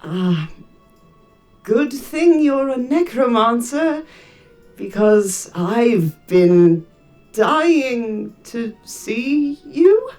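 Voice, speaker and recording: A woman's voice: fairly high pitch, big pitch swings, very slow, a little mellow, faint music behind, exaggerated voice. A woman voicing an awkward, exasperated adventuring bard in a video game. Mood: awkward flirting. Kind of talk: video game character acting.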